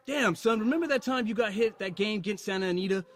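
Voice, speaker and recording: An actor playing a defensive young football player, sarcastic and bristling in an audio drama. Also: male voice, high voice, fast, a bit bright. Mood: sullen.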